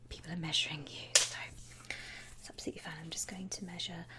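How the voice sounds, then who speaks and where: A woman whispering a soothing ASMR customer-service roleplay, playing a polite, reassuring shop assistant in an unhurried, breathy way. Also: on the low side, flat delivery.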